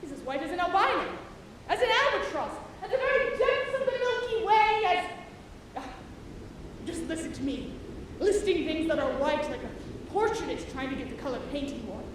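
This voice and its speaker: A woman on stage playing an eccentric sailor who lectures in declamatory, old-fashioned literary language with dramatic pauses. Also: very high voice, big pitch swings, a little hiss or hum. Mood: awed, unsettled. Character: Elijah, eccentric theatrical sailor-lecturer musing over whales. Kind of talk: theatrical stage monologue. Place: large theatre auditorium, live stage performance. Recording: echoey auditorium from far off, music behind. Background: loud music.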